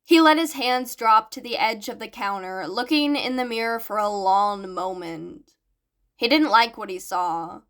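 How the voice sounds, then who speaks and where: A woman's voice: mid-range voice, measured pace, a bit bright. A woman reading a scripted fan fiction story aloud as its narrator. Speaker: podfic narrator.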